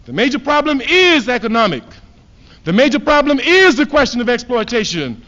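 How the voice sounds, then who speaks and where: A man from Trinidad delivers an emphatic political speech, hammering points home by repeating them. His voice sits high and leaps up and down in wide swings, rising to loud declarations before dropping to low asides. The sound is dull and muffled, like an old archive recording. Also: sing-song, normal speed. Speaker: political speaker.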